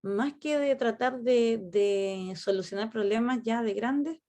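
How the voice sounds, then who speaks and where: A woman teacher with a Chilean accent, leading a class discussion in a measured, reflective way. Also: on the high side, warm tone. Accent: Chilean Spanish. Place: online class.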